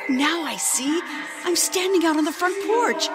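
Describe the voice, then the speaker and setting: A woman playing a dreamy, tender wife and mother in an audio drama, speaking in halting, breathless exclamations as if seeing visions. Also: extremely high pitch. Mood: entranced, urgent. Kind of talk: emotive audio drama acting. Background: loud music.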